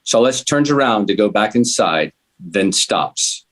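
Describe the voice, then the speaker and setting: A man's voice: lowish voice, lively intonation, measured pace, fairly bright tone. A man reading a script's narration and stage directions aloud at a table read.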